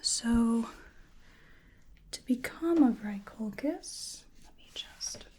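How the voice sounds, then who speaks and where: Soft, breathy woman murmuring slowly. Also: fairly high pitch, lively intonation. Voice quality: soft, breathy, often whispery. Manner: hesitant, murmuring to an unseen listener. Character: bewildered, polite female researcher examining a creature. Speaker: ASMR roleplay performer. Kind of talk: soft-spoken ASMR roleplay.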